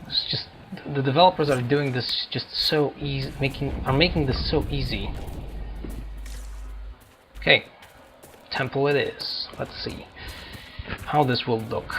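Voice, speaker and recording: A man's voice: medium pitch, fairly even intonation, unhurried, long pauses. A man commentating casually on a video game, musing aloud in short, broken remarks. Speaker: gamer commentating on a playthrough. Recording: game music behind the voice. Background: loud video game music.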